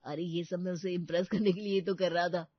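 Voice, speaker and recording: A woman's voice: lowish voice, fairly even intonation, brisk, dry recording, muffled recording, lightly acted. Woman acting an eager, lovestruck young college man. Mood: happy.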